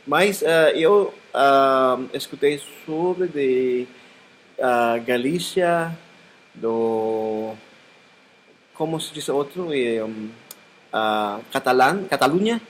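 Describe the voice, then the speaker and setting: A man's voice: mid-range voice, extremely slow, slight background noise, rough recording. A man practicing a language as a student on a video call, hesitant and searching for words with many fillers. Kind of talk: halting practice conversation.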